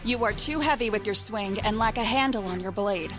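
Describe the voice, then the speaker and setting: A woman's voice: medium pitch, brisk, a bit bright, faint music behind, close to an everyday voice. An actress playing a tough but fair female knight captain in an audio drama, commanding, firm and no-nonsense. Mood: firm, instructive. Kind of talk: scripted audio drama acting.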